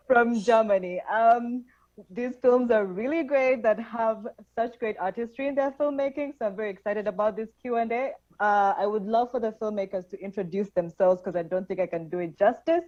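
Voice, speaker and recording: A woman moderating an online film festival Q&A, friendly and enthusiastic over a video call. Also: fairly high pitch. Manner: friendly, enthusiastic, quick laughs. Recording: dull lo-fi call audio.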